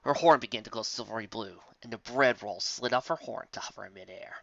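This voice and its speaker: A woman's voice: rumbling low, brisk, gravelly. A woman narrating a fantasy story in a dramatic reading style, on a slightly dull recording. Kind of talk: dramatic reading of fan fiction.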